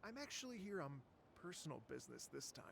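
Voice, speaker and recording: A man voicing a cautious, formal, exacting musician and organizer in a game cutscene, measured, hesitant and creaky. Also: high-pitched, very animated, noisy background, heavily acted. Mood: hesitant, doubtful.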